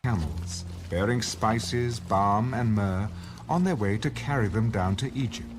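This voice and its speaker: A man's voice: lowish voice, lively intonation, a little slow, noisy background. A man narrating an ancient biblical tale as a solemn storyteller in a dramatized audio Bible. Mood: solemn storytelling.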